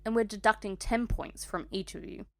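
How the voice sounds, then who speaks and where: A woman's voice: mid-range voice, moderate pace, vocal fry, no echo, studio quality, natural voice. Woman voicing a sassy, exasperated teenage boy wizard. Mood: backing up, stern.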